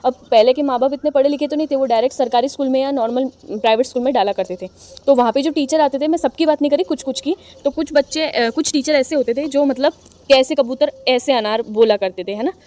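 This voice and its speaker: A young woman with an Indian accent leads an exam-prep lesson in a high, light voice. She talks in a chatty, encouraging way. She reads each line slowly and then explains it in her own words. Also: warm tone. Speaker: teacher.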